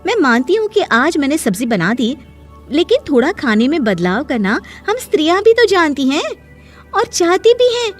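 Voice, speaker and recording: A woman playing a sassy, sharp-tongued young daughter-in-law who argues in a pert, mock-polite way in a cartoon family drama. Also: high voice, sing-song, moderate pace, faint music behind. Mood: indignant.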